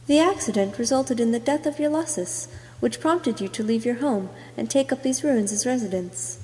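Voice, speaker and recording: A woman's voice: a little high, dark tone. A woman reading scripted second-person character narration, as an amateur voice actor making a reel. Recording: long hollow reverb.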